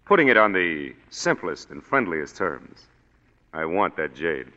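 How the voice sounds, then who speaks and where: A suave pompous psychic. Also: male voice, low voice, very animated, unhurried, sharp tone, exaggerated voice. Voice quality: smooth, pompous. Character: suave scheming male psychic, smooth and condescending. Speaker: psychic consultant. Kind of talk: 1940s radio acting. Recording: dull old radio broadcast with hall reverb.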